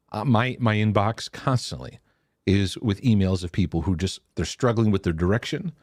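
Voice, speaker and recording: A man's voice: on the low side, moderate pace, a little mellow, no room sound, studio quality. A middle-aged man hosting a live question-and-answer stream, congested and sneezing, talking in a rambling, self-interrupting way with laughs. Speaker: host.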